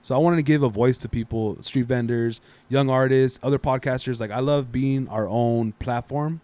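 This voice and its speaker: A man's voice: mid-range voice, fairly even intonation, normal speed, mellow, dry recording. A man from Los Angeles, guesting on a casual podcast, laid-back and full of slang.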